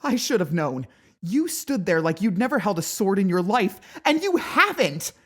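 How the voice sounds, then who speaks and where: A woman's voice: mid-range voice, sing-song, moderate pace, a bit bright, slightly rough, pitched above the performer's own voice. A woman voicing a hot-tempered young woman fighter in a fantasy audiobook, panicked and furious, with a shaky voice that cracks. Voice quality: shaky, cracking when upset. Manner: frantic, dramatic outbursts. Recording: background music behind the voice.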